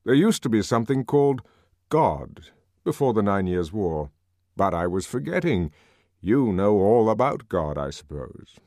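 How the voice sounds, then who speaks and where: A man's voice: lowish voice, sing-song, a little slow, a little mellow, no room sound. A man voicing an urbane, wry male controller in an audiobook, lecturing genially with dry, teasing authority. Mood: musing.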